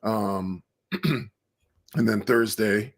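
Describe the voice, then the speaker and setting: A man with a deep voice on a casual sports podcast over a video call, relaxed and curious, asking plenty of questions. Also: extremely slow. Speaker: podcast co-host.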